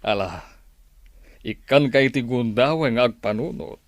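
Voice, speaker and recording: An indulgent middle-aged boss and father, played by a man in a radio drama. His medium-pitched voice is deliberate and weighty, carrying measured authority. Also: no echo, clean studio sound, natural voice. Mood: measured, authoritative. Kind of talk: Ilocano radio drama acting.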